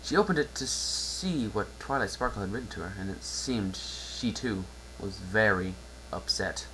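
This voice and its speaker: A man's voice: on the low side, lively intonation, moderate pace, pushed and bright, poor audio. A man narrating a story aloud as a dramatic amateur reading. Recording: faint background noise and hum.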